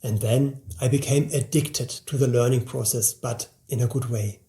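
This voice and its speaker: Male presenter, 40s, for a motivational promotional narration. Mellow timbre with noticeable fry, talks straight to the listener. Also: mid-range voice, steady tone, normal speed. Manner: motivational, direct address to the listener. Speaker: presenter promoting his language course.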